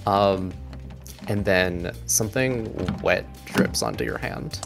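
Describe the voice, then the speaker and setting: A man narrating a tabletop game as game master, improvising casually and haltingly. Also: low-pitched, big pitch swings, vocal fry, over music.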